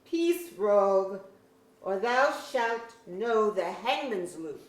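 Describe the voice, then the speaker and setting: A woman's voice: medium pitch, animated, slow pace. A woman playing an imperious magistrate in an archaic verse drama, with a commanding, declamatory delivery. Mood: stern. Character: imperious magistrate barking orders in archaic English. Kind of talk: staged reading of pseudo-Elizabethan verse drama. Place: museum auditorium, live reading. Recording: echoey auditorium with background hiss.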